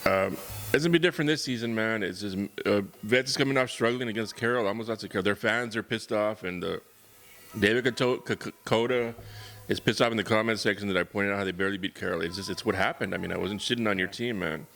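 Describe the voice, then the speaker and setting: A man's voice: fairly low pitch, fast talker, creaky, fairly bright tone. A man, co-hosting a casual sports chat, blunt and swearing freely. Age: 40s. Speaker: co-host.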